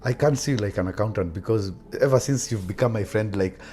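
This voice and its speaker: A man's voice: on the low side, fast, warm tone. A man interviewing someone in a casual chat, often interjecting and repeating answers back.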